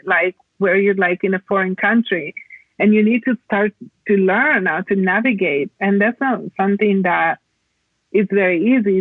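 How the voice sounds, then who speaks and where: A woman from Italy, explaining reflectively as a podcast guest in a halting, conversational way over a remote call. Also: mid-range voice, no room sound, phone-quality sound. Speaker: podcast guest, plant medicine practitioner.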